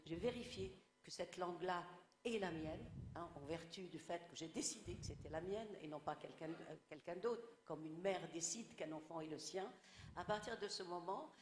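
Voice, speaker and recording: A woman's voice: fairly low pitch, slight background noise. Reflective Tunisian woman in an echoey hall. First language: Arabic. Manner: reflective, storytelling. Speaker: guest writer. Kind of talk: panel discussion.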